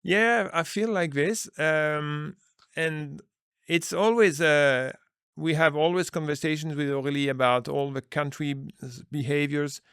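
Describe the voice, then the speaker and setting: Earnest man with a gravelly voice. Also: on the high side, a little slow, fairly bright tone, no room sound, clean studio sound. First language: Dutch. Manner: earnest, searching for words, many restarts. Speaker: business manager, interview guest. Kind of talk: conversational interview answers.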